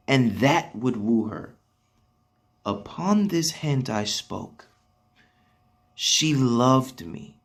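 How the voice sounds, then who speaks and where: A man performing a proud, earnest soldier pleading his case in a measured, declamatory Shakespearean stage monologue with dramatic pauses. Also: medium pitch, vocal fry, voice pushed up, full character voice. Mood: earnest, impassioned.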